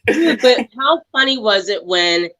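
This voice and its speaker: Woman, podcast guest, bright tone, animated, laughing storyteller. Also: on the high side, unhurried. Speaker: guest actress. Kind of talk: casual movie review chat.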